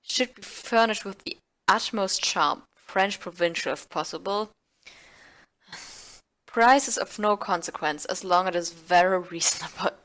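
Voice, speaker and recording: A woman's voice: mid-range voice, a little slow, a bit bright, close to an everyday voice, rough recording. Woman as blunt, sardonic rental agency clerk. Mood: dry, bored. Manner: dry, offhand, sardonic. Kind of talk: audio play acting.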